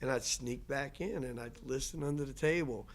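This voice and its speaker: Man, 60s, guest lecturer; reflective personal storyteller. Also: medium pitch. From Louisiana. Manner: unhurried, reflective, trailing restarts.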